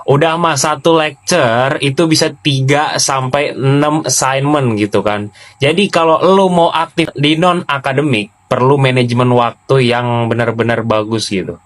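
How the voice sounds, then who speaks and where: A young man from Indonesia, chatting casually to viewers as a student creator. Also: medium pitch. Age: young adult. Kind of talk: casual slangy chat to camera.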